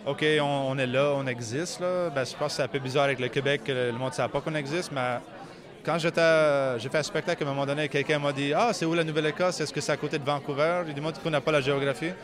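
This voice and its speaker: Acadian man, fairly high voice, casual interviewee with English fillers, lively crowd behind. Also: fast talker. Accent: Acadian French. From Nova Scotia, Canada. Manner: drops English "so" into sentences. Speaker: musician interviewee. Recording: busy crowd noise around.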